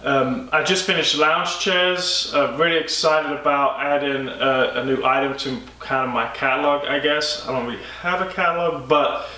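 A man in his thirties, a furniture maker giving a casual update to camera from his woodworking shop, talking in a halting way with many ums. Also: medium pitch, fairly even intonation, bright, forceful tone. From United States. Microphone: camera mic a few feet away. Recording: echoey workshop, mic at a distance.

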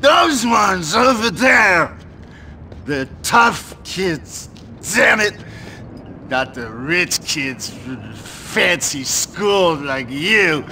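Whiny drunken Santa, strained and high. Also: male voice, very animated, drawn-out words, bright, forceful tone, slight background noise, full character voice. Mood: whiny, aggrieved. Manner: whiny, rambling, maudlin. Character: drunken, self-pitying down-on-his-luck street Santa. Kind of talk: video game cutscene acting.

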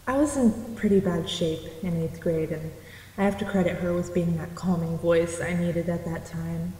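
Mellow, understated woman acted by a woman. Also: on the low side, lightly acted. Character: down-to-earth, sincere married woman. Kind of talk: naturalistic audio drama acting. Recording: roomy echo, faint background noise.

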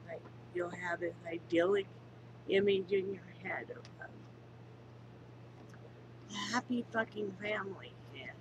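A woman's voice: medium pitch, noisy background. Congested woman vlogging from her couch. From Wyoming, United States. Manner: candid, swears freely, turns somber and quiet. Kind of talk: casual home vlog. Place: living room at home, on a couch. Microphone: webcam at close range.

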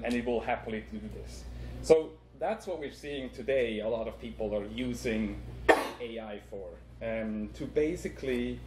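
A man with a Swiss accent walks an audience through a live demo at a conference, stopping often on "um" and explaining step by step. His voice sits at a middle pitch. The hall around him sounds echoey, with a faint hiss underneath. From Switzerland. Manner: relaxed, many ums, explaining step by step. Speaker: conference speaker. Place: conference room. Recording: echoey room with faint noise.

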